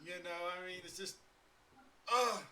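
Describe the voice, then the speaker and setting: A man's voice: high voice, a little slow, pushed and bright, recorded from a distance, slight background noise. A man in his forties hosting a casual live-stream chat, rambling with lots of fillers and chuckles. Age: 40s. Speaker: podcast host.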